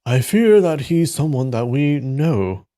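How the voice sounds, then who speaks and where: A man voicing a suspicious, cautious male martial arts master who plots deliberately in a storybook reading. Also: medium pitch, animated, warm tone, pitched above the performer's own voice. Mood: suspicious, plotting.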